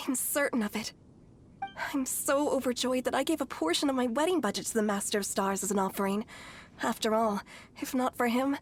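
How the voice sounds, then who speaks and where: A woman's voice: fairly high pitch, slight background noise, natural voice. A woman playing a devout, lovelorn, hopeful bride-to-be in a video game, fervent and naively certain.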